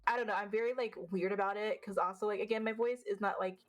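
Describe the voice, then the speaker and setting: A woman with a deeper, unfeminine voice talks quickly and bluntly in a relaxed interview. She swears casually and trips into a slight stutter now and then. Faint music sits in the background. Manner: fast, blunt, swears freely. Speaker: vtuber guest.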